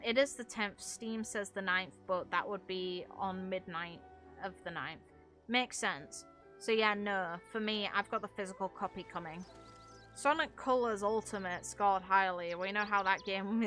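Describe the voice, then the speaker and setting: A woman's voice: medium pitch, bright, forceful tone. A British woman chatting casually while streaming a game, with a blunt, opinionated manner and some mild swearing.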